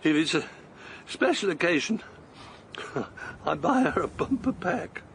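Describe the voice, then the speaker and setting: A man's voice: fairly high pitch, sing-song, measured pace, vocal fry, a bit bright, slight background noise, full character voice. An actor playing an older man out on a long walk, breathless and wondering, chuckling to himself in a radio drama. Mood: fond.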